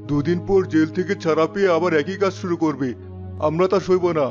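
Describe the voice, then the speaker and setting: A man voicing an angry, vengeful villager in an animated story, insistent and belligerent, with music behind him. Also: fairly high pitch, fairly quick, lo-fi sound, lightly acted. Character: angry vengeful male villager demanding punishment.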